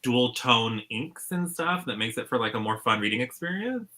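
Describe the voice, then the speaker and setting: A man's voice: medium pitch, expressive, moderate pace, bright, forceful tone. A man chatting casually as a co-host of a book discussion. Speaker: book reviewer, read-along co-host. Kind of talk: casual chat.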